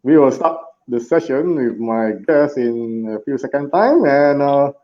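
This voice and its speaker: A man hosting a live online interview session, speaking slowly and earnestly with many "uh" fillers and restarts. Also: mid-range voice, expressive. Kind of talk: scripted live-stream introduction and interviewing. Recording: muffled lo-fi live-stream audio.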